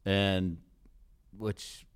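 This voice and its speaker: An American man hosting a sports podcast in a casual, animated, conversational way. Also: sits low, extremely slow, smooth. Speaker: podcast host, sports broadcaster. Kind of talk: casual podcast interview chat.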